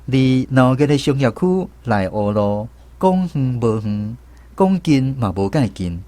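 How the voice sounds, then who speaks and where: A man's voice: medium pitch, sing-song, a little slow, dark tone, dry recording. A man with a Taiwanese accent playing a reflective film director who narrates his memories in a radio drama. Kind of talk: scripted first-person radio drama narration. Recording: music bed under the voice. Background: instrumental music.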